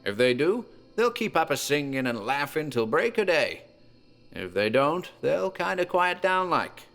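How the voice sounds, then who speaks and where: A man's voice: a little high, sing-song, unhurried, fairly bright tone, faint music behind, exaggerated voice. An actor playing a dying old country grandfather, sinister and knowing, with a wheezy voice and rustic dialect. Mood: eerie foreboding. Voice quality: wheezy, labored. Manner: rustic dialect, cryptic warnings.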